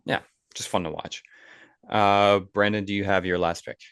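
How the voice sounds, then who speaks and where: A man with a fairly low-pitched voice hosts a podcast. He talks in a casual, conversational way, as in an informal group discussion. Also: fairly even intonation, moderate pace, fairly bright tone, clean tone. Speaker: podcast host. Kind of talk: casual chat.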